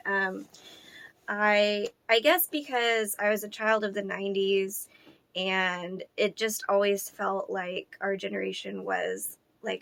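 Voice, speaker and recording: A woman in her thirties who sounds hoarse, chatting casually with lots of ums and likes while unboxing a doll for her channel. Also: mid-range voice, a bit bright, no echo. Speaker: doll collector vlogger.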